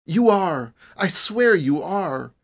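A man voicing a bitter, easily provoked young man in a storybook, forceful and emphatic. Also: fairly high pitch, very animated, a little slow, pitched above the performer's own voice. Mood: admiring, excited.

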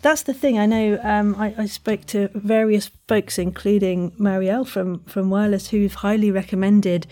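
Lady podcast host, mid pitch, really encouraging n gushy, chatty interview style. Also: steady tone, moderate pace, few pauses, mellow. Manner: effusive, encouraging, frequent exclamations. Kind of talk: casual interview chat.

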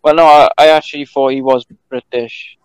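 A man with a Welsh accent, from Wales, talking calmly and carefully as a podcast guest. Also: mid-range voice, clean tone, dry recording, low-quality recording. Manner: calm, measured, holding back swearing. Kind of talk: casual chat.

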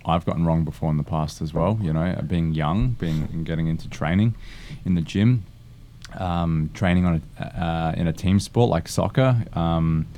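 Man, very deep, dark voice, hesitant, podcast co-host. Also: a little hiss or hum. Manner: hesitant, halting with many ums. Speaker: gym co-founder and co-host. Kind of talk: casual podcast talk.

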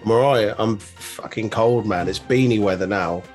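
A man's voice: fairly low pitch, normal speed, a little mellow, soft background music. A man co-hosting a wrestling news podcast in casual chat, swearing easily and reading out news items. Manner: swears casually, laid-back banter. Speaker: podcast co-host.

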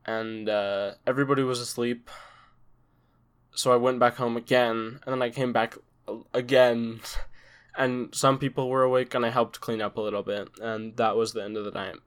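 A teenage boy tells a story in a relaxed, halting way on a casual podcast. His voice sits in a middle range. He speaks over a video call, and the connection keeps dropping. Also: mid-range voice, clean tone. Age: teens. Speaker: podcast co-host.

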